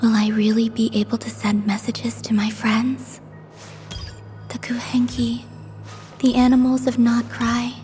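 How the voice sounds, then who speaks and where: Soft-voiced, wistful young moon maiden played by a woman. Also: on the high side, completely flat delivery, measured pace, soft background music, natural voice. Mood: wistful, hushed. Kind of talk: video game cutscene voice acting.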